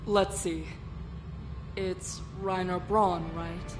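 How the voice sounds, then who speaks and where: A woman's voice: lowish voice, steady tone, drawn-out words, over music. A woman voicing a commanding, foul-mouthed female admiral in a fan-made parody drama. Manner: commanding, swearing.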